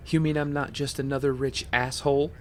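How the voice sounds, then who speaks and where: A man's voice: medium pitch, flat delivery, a little fast, slight background noise, close to an everyday voice. A young man playing a rich playboy with a secret heroic life in an audio drama. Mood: wry, casual.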